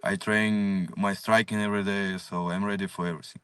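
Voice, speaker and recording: A man's voice: on the low side, flat delivery, a little fast, fairly bright tone, clean tone, dry recording, far from the mic. A young man from Ukraine, a fighter, answering interview questions in full of fillers, plain and determined. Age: young adult. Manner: non-native English, many fillers, plain and determined.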